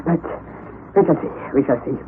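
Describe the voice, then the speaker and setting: An actor playing an eccentric, obsessive older professor in an old-time radio drama, theatrical and domineering, muttering and declaiming. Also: male voice, moderate pace, natural voice. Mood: excited, proclaiming. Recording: tinny, narrow, noisy vintage radio recording.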